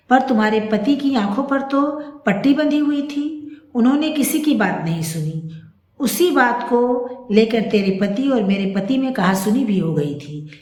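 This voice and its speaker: Woman acting blunt protective female friend, indignant, scolding, high-ish animated voice, audio story, echoey room. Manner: emphatic, scolding, serious.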